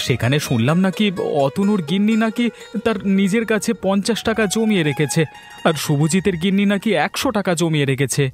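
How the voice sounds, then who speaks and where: High-voiced sly village husband played by a man. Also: lively intonation, a little fast, faint music behind. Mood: eager, coaxing. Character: sly, henpecked young village husband in a folk-tale cartoon. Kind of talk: cartoon voice acting.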